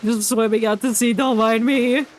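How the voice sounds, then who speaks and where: A woman's voice: fairly high pitch, fairly even intonation, normal speed, a little hiss or hum. A woman giving casual live commentary on a game, thinking aloud as the game music plays behind. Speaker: game streamer.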